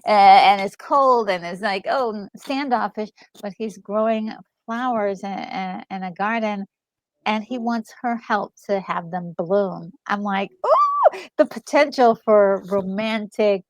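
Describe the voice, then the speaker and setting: A woman co-hosting a gaming discussion, talking casually and enthusiastically in a halting, emphatic way over music. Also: mid-range voice, very animated, dry recording, rough recording. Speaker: gaming channel co-host. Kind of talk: casual commentary on a game story. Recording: music playing behind a close, dry voice.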